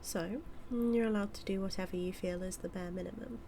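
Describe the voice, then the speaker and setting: A British-accented woman playing a smug, manipulative evil magician in a scripted audio roleplay, teasing and taunting with deliberate, drawn-out phrasing. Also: mid-range voice, fairly even intonation, vocal fry, dark tone, slight background noise, natural voice. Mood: coolly persuasive.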